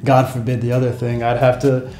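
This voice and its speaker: Mellow young American man in a roomy space. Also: mid-range voice, monotone, brisk. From United States. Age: young adult. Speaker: vlogger. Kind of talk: casual chat to camera. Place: small room in a home, indoors. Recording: roomy echo, music behind.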